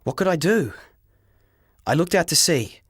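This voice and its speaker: Guy reading an audiobook story, takes his time, dramatic and expressive, really dry sound. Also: on the high side, slightly rough, no echo. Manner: expressive, measured, deliberate phrasing. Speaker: audiobook narrator. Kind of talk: dramatic first-person storybook narration.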